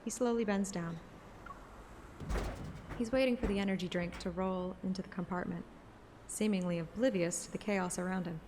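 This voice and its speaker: A woman narrating a scripted present-tense story in a measured, descriptive way. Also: mid-range voice, fairly even intonation, a little mellow, slight background noise. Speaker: audiobook narrator and streamer. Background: music.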